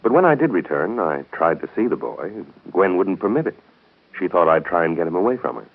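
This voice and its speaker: An actor in a 1930s radio soap opera playing a courteous, thoughtful gentleman who speaks in an earnest, measured way. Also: sits low, big pitch swings, vocal fry, narrow, tinny audio, full character voice. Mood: concerned. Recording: band-limited scratchy 1930s radio broadcast.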